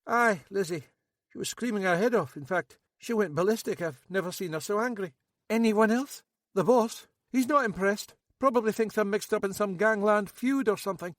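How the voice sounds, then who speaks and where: A man voicing a jittery, battered young man who talks fast and rambles anxiously, with a Scottish accent. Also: high voice, dry recording, clean studio sound, voice pushed up, lightly acted. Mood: rattled. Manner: excitable, rambling, self-pitying.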